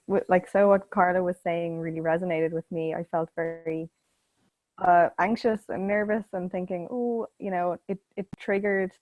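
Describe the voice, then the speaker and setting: A woman with a cold, croaky-voiced, frankly sharing her experience as a first-time facilitator on a call. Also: lowish voice, dry recording, rough recording. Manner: frank, self-reflective.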